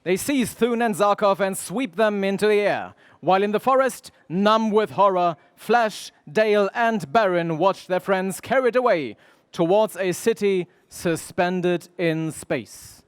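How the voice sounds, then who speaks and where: A dramatic radio serial narrator, played by a man. His voice is high and crisp, recorded dry, telling a pulp space adventure. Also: unhurried, dry recording. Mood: ominous, dramatic.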